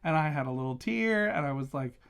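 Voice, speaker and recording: A man's voice: on the high side, lively intonation, brisk, creaky, clean tone. A man talking casually as a vlogging reviewer, gushing with emphatic, enthusiastic delivery. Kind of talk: casual chatty book review vlog.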